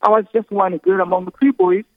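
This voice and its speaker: A woman from Rwanda, speaking slowly and earnestly as a guest on a phone interview, often pausing mid-thought. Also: medium pitch, steady tone, no echo. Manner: slow, earnest, halting with careful pauses. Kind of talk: phone interview, personal testimony. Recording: tinny phone line.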